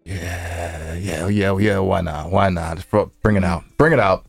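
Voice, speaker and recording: A man's voice: sits low, unhurried, vocal fry, breathy edge, no room sound, faint music behind. An American man co-hosting a podcast, joking casually and repeating phrases playfully for emphasis. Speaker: podcast co-host.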